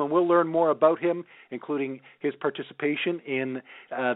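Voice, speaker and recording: Male radio interviewer on a thin, phone-like line. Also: a little high. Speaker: radio show host, interviewer. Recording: thin phone-like band, roomy echo.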